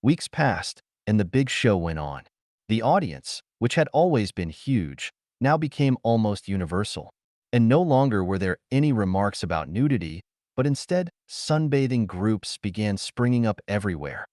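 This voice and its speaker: A man narrates pulp science fiction in a low voice. His pitch moves about a great deal as he reads, and the sound is dry and close. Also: very animated, normal speed, darkish tone, no room sound. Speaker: audiobook narrator.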